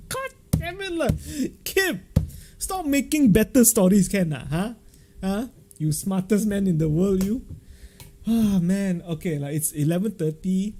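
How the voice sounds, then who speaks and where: A man with a Malaysian accent hosting a casual livestream, chatting and telling stories in an animated, jokey way full of local slang. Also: high voice, measured pace. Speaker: livestream host. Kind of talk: casual live-stream chat and storytelling.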